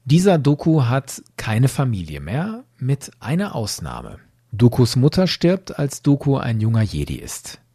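Lively, dark-voiced male podcast host. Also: medium pitch, measured pace, husky, no room sound, clean studio sound. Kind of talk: explanatory podcast commentary.

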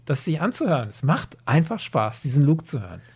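A man's voice: a little high, big pitch swings, brisk, darkish tone, breathy edge. A man hosting a podcast, talking casually and enthusiastically in conversation. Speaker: podcast host.

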